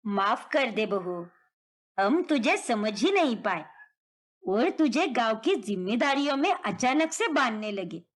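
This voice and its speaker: A woman's voice: a little high, sing-song, measured pace, voice pushed down. A woman voicing a kindly, remorseful village mother-in-law in a moral story. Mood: apologetic, kind.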